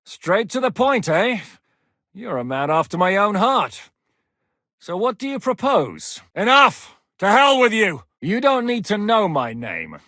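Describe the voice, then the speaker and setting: A gruff knight commander in a game, blunt and swears a lot, kinda high voice that swings around a ton, super dry studio sound. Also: male voice, sing-song, a little slow, pushed and bright, no room sound, full character voice. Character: gruff battle-hardened male knight commander. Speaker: game character voice lines. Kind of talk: game voice acting.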